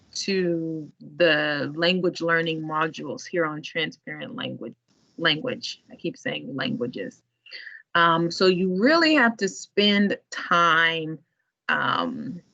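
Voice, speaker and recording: A woman from the library staff demonstrating a language database in an online tutorial, in a relaxed, chatty way. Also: low voice. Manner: relaxed, chatty, laughs often. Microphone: video call. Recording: muffled online meeting audio.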